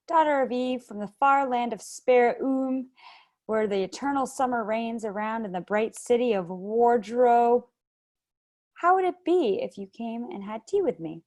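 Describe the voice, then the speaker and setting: A woman's voice: fairly high pitch, a little slow, darkish tone, voice pushed up, natural voice. A woman reading aloud, voicing a polite, fussy male faun who talks in an eager, formal way. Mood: courtly, eager. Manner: eager, formal, slightly flustered.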